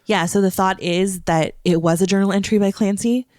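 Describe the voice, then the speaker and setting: A woman's voice: mid-range voice, fairly even intonation, moderate pace, darkish tone, dry recording, clean studio sound. A woman co-hosting a casual podcast, congested from a cold and explaining things patiently with frequent laughs. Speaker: podcast co-host. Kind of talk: casual chat.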